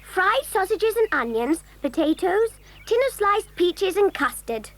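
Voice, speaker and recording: Very high-voiced, prim little schoolgirl. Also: very animated, a little slow, fairly bright tone, heavily acted. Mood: eager. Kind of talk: children's audio drama acting.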